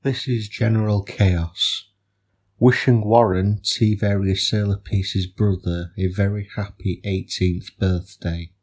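Man, low pitch, slow pace, measured, formal, announcer-like. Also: steady tone, darkish tone. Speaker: amateur voice actor. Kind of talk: formal birthday greeting.

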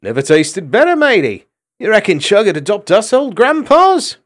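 A man's voice: high voice, wildly swooping pitch, normal speed, pitched above the performer's own voice. A cheeky young mouse thief, jaunty and teasing, as a man puts him on. His voice runs raised and bouncy in this storybook reading.

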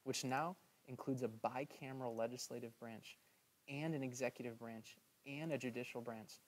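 A man teaching a history lesson over slides in a casual, chatty way, filling pauses with "um" and checking in with "right?". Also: mid-range voice, steady tone. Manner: chatty, many "um"s and "right?" asides.

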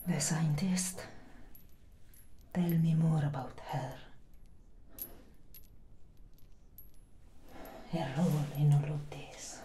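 Woman playing a low, breathy, menacing elder vampire. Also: fairly even intonation, slow, mellow, roomy sound, slight background noise. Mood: probing. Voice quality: breathy, whispery. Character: female elder vampire, cold, menacing, commanding interrogator; non-human vampire. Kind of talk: soft-spoken ASMR roleplay.

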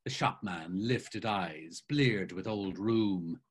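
A man in his sixties giving an expressive dramatic reading and commentary over a video call. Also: low voice, takes it slowly, husky. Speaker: actor and reader.

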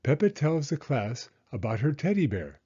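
An older man reading a children's story aloud as a storyteller, with expressive, deliberate phrasing for young listeners. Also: fairly high pitch, breathy edge, highs cut off. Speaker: storyteller, elementary school teacher.

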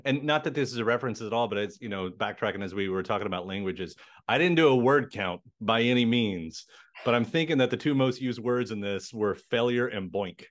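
A man hosts an interview from a home room covered in posters. He talks in a relaxed, conversational way and steers with easy questions. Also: lowish voice, brisk. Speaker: interviewer host. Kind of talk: casual interview.

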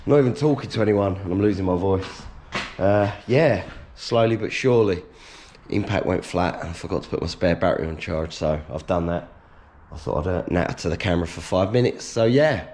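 Casting note: thirtyish bloke, slightly low-voiced and hoarse, upbeat work-vlog chatter on a head cam, faint shop noise. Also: male voice, fairly quick, a little mellow. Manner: chatty, upbeat, matter-of-fact while working. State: hoarse, losing his voice. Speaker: workshop owner vlogging. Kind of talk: casual chat to camera. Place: large workshop being stripped out, indoors. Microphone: head-mounted action camera.

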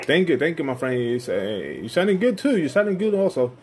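A man's voice: a little high, very animated, fairly quick. Puerto Rican man on noisy recording. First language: Spanish. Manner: friendly, repetitive. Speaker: amateur radio operator. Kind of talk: casual ham radio contact chat. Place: indoors at a radio station. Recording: noisy phone recording beside radio.

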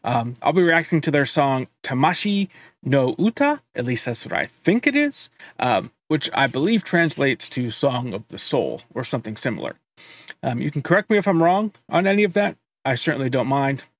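A man in his thirties hosting a music reaction video from a home studio, talking in a relaxed, chatty way with frequent filler words. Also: mid-range voice, normal speed. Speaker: reaction channel host. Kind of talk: casual music reaction commentary.

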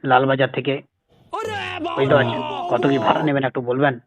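A man making a casual prank phone call, persistent and deadpan. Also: mid-range voice, normal speed, crowd noise. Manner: deadpan, persistent, evasive with absurd questions. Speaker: prank caller, comedy show host.

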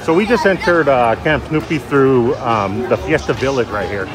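A man's voice: medium pitch, normal speed. A man casually narrating a walking vlog at an outdoor theme park, chatty and upbeat as he points things out. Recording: loud crowd and music outdoors. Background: park music and crowds.

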